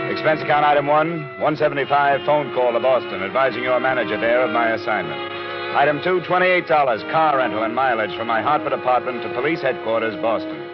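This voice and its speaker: An actor playing a brisk, businesslike American insurance investigator with clipped delivery in a 1950s radio drama. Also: male voice, medium pitch, lightly acted. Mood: brisk, official. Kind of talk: 1950s radio detective drama acting and narration. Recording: dull vintage radio recording with music.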